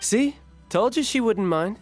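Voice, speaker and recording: A playful young male fighter in a game, quick, breezy and teasing. His pitch swings widely as he needles others. Faint music plays behind. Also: animated. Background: soft game music.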